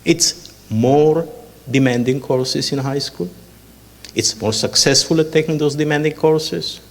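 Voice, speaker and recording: A man in his sixties with an Israeli accent, giving a measured lecture with dry asides as a panel speaker. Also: fairly high pitch. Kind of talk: public lecture with slides. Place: lecture hall. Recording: long hall reverb.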